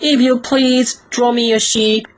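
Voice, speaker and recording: A man's voice: extremely high pitch, level tone, measured pace, pitched above the performer's own voice. A man voicing a strange little boy in a storybook, earnest and plainly insistent.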